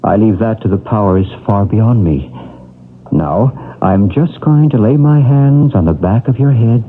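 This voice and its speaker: An actor playing a gentle, probing male psychic healer who talks in a soft, measured, reassuring way, in a radio drama. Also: lowish voice, expressive, a little hiss or hum. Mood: calm. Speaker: psychic researcher. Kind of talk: 1970s radio drama acting. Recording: tinny old radio broadcast.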